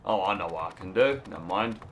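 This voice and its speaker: A young British man talks through a game in a relaxed, chatty way, his voice sitting a bit low. His throat sounds a little rough and hoarse. He now and then bursts out in mild, exasperated swearing at what happens on screen. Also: fast talker, slight background noise. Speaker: let's play host. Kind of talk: casual gameplay commentary.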